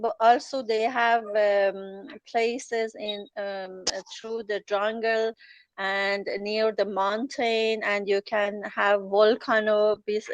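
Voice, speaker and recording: Slow, hesitant woman, Farsi native speaker. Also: medium pitch, no room sound, lo-fi sound. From Iran. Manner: hesitant, many fillers and restarts. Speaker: guest, journalist and travel writer. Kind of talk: interview answers.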